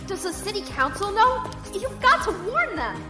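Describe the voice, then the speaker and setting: An actress playing an earnest, alarmed captive teacher in a science fiction audio drama. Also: female voice, extremely high pitch, sing-song, normal speed, reverberant, over music. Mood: horrified, pleading. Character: alarmed, earnest young female teacher held prisoner.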